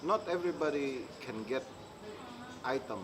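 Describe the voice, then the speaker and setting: A middle-aged man from Indonesia, speaking haltingly in an interview and often restarting as he searches for words. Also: mid-range voice, expressive. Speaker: interviewee, maker. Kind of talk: casual interview talk. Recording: clear background noise, insects.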